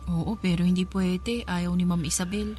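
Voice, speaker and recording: An actress playing a timid young housemaid who longs to escape, hesitant and deferential, in a Filipino radio drama. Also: female voice, lowish voice, steady tone, normal speed, mellow, close to an everyday voice. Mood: anxious, pleading. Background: music bed.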